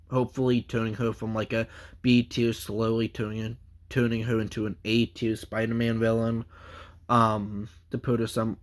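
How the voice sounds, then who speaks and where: A man with a stuffy nose from a cold, giving a casual, rambling comic book review full of fillers and restarts. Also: lowish voice.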